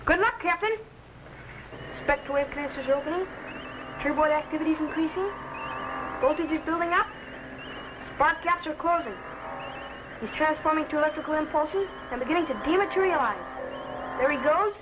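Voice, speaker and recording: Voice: high-pitched, normal speed, pauses often, muffled recording, close to an everyday voice. A performer playing an eager, earnest young assistant to a captain, reporting dutifully in an old sci-fi serial. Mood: tense, excited. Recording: noisy old broadcast recording.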